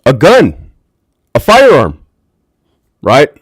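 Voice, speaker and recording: A man with a deep voice hosting a candid livestream on a desk mic. His pitch swings widely as he talks, and the sound is dry and close. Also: huge pitch swings, extremely slow, slightly rough, dry recording. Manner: candid, profane, talks to chat viewers. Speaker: livestream host, former prison officer. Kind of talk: casual livestream commentary.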